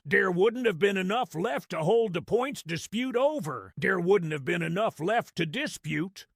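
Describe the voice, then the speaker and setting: Gravelly high-pitched Cockney grot. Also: male voice, fairly bright tone, dry recording, studio quality, full character voice. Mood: amazed, wry. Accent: Cockney-style English. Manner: wry, conspiratorial, streetwise, ungrammatical slang. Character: small cunning cowardly male grot narrator, wry storyteller. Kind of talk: in-character audio drama storytelling.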